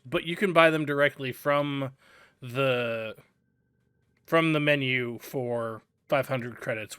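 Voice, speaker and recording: A man's voice: mid-range voice, bright, forceful tone, smooth, dry recording, clean studio sound. A man giving casual, wry commentary while playing a video game. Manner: wry, chatty, self-correcting. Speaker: game streamer.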